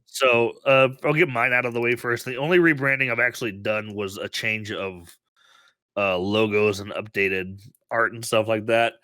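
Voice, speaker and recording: Podcast co-host, male, under the weather, bright edge, giggly, trips over restarts, dry close mic. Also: on the low side, fairly even intonation, normal speed, no room sound. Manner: laughs often, restarts sentences. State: sick. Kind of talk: casual podcast chat.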